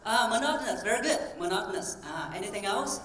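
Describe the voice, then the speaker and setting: A woman voice coach speaks on stage in a large hall, lively and coaxing as she drills the crowd. Her voice sits at a middle pitch, and it carries from some way off the mic. The room rings around her with faint ambience behind. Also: fast talker, far from the mic. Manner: energetic, coaxing, drills the audience. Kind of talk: keynote talk with audience exercises.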